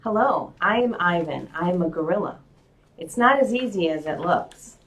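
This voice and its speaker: A woman's voice: fairly low pitch, lively intonation, moderate pace, fairly bright tone, poor audio. A woman reading a children's novel aloud in the first person to students. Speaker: school community member reading to students.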